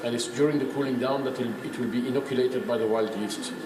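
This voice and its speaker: A man's voice: mid-range voice, fairly even intonation, fast, a crowd in the background. A man working as a brewery tour guide, explaining things informally in an emphatic, opinionated, didactic way. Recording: echoey room, clear background noise.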